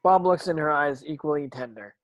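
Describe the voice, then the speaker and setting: A man's voice: fairly high pitch, brisk, no echo, lo-fi sound. A man narrating screen action from a script as a stage directions reader, now and then stumbling over words.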